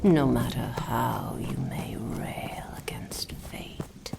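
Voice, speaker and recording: An ancient, predatory dark mage speaks in a gravelly half-whisper. The words come very slowly and haughtily, in archaic phrasing, with imperious menace made for fantasy ASMR. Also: female voice, extremely low pitch, pitched below the performer's own voice, full character voice. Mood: gloating, menacing. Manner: slow, haughty, archaic diction. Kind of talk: fantasy ASMR roleplay acting.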